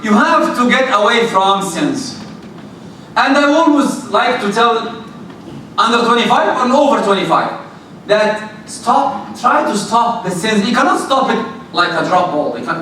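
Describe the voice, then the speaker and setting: Middle-aged preacher with a loud, high, bright voice, lecturing youth from a distance in a very echoey hall. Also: male voice. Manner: direct, rhetorical questions, animated. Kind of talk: religious talk to young people. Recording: very echoey hall, distant mic, faint noise.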